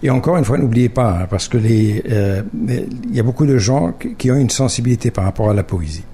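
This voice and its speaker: A man's voice: medium pitch, expressive, brisk, dark tone, a little hiss or hum. A man hosting a radio interview in a studio, talking with many restarts and fillers. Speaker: radio host.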